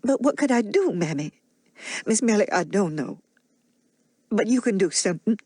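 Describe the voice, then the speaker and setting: A woman's voice: medium pitch, animated, moderate pace, dark tone, husky, no room sound, clean studio sound. A woman voicing a devoted, frightened old nanny with a heavy Southern US accent and plainspoken dialect. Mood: pleading.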